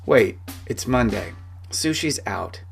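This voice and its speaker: Man doing confident suited businesswoman, brisk, casual, audiobook dialogue, music underneath. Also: fairly low pitch, lively intonation, gravelly, heavily acted. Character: brisk, confident big-city businesswoman in a suit.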